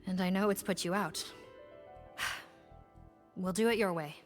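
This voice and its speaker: An actress playing a serious, formal investigator in a video game, polite and reserved. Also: female voice, on the low side, unhurried, faint music behind, lightly acted. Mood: resigned. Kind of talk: scripted game dialogue.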